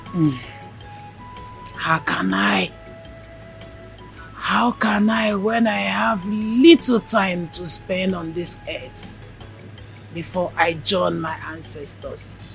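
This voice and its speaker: A woman playing a frail gravelly Nigerian grandma. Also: fairly low pitch, fairly bright tone, faint music behind, heavily acted. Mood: blessing. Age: older. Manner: slow, solemn blessing cadence. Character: elderly village grandmother, gentle, near death.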